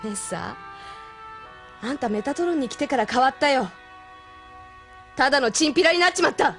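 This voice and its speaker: An actress playing a tough, frank young female mech pilot and former delinquent with rough, blunt speech in an audio drama. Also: high voice, animated, moderate pace. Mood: fed up. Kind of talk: Japanese audio drama acting. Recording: music behind the voice.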